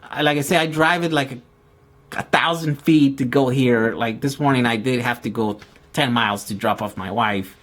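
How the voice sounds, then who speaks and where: A man's voice: medium pitch, normal speed, a bit bright. A Brazilian-born man, casually answering viewers' questions in a rambling way with many restarts. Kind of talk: casual live Q&A chat. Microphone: outdoor camera with mic.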